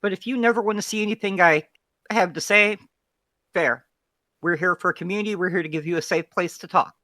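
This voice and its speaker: Bright-voiced Texan guest, candid. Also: medium pitch, a little fast, dry recording, clean studio sound. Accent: Texan, softened. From Texas. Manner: candid, thoughtful, opinionated. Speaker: guest, feed curator. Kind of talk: casual conversation.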